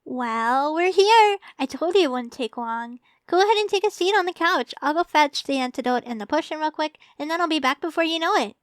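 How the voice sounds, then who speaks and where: Casting: actress as sweetly obsessive young female champion for ASMR roleplay; high voice, coaxing and teasing, close dry sound. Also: lively intonation, brisk, few pauses, no room sound. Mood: caring, cheerful. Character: tall, doting, teasing young woman Pokemon champion, secretly obsessive.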